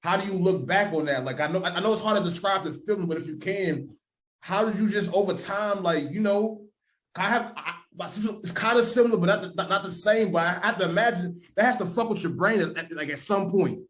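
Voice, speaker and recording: Higher-pitched man, informal interviewer. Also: a little fast, fairly bright tone. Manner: informal, conversational, slangy. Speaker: podcast interviewer. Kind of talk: casual interview.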